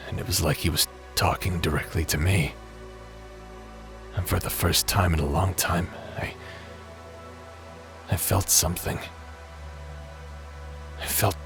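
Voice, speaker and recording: A man playing a guilt-ridden, grieving ex space pirate in an audio drama, speaking haltingly with heavy pauses. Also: low-pitched. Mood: haunted, remorseful. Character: grief-stricken, guilt-ridden male ex space pirate brother. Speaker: voice actor in an audio roleplay.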